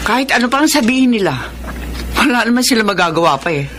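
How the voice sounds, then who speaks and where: Lively, high-voiced feisty middle-aged woman. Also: fast talker. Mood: confiding. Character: feisty, defensive older wife, indignant and proud. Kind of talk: Tagalog radio drama acting.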